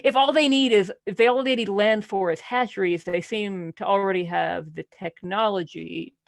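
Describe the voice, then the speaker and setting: Creaky, dry-sounding voice in casual debate. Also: medium pitch, moderate pace, no room sound. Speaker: podcast panelist in book discussion. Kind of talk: casual book discussion.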